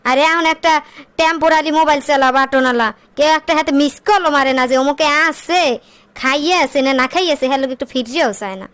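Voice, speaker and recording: Nagging cartoon wife played by a woman, high-voiced, Barisal-region Bangladeshi accent, weary and scolding. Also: fast talker, fairly bright tone. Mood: scolding, lamenting. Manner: scolding, lamenting. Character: nagging, weary working wife of a jobless man. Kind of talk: comic cartoon dialogue in rural dialect.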